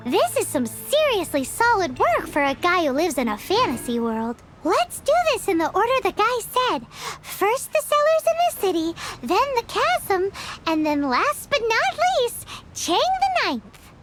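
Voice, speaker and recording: A woman voicing a small, childlike, excitable floating companion in a video game. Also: very high-pitched, a little slow, a little hiss or hum, full character voice. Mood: cheerful. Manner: refers to self in third person, exclamatory. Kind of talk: video game character acting. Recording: studio voice under game music.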